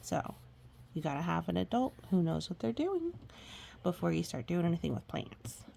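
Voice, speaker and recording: A woman with a husky, breathy voice reading a picture book aloud to young children, with chatty, gently explaining asides and murmured agreement. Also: fairly low pitch, very animated, normal speed.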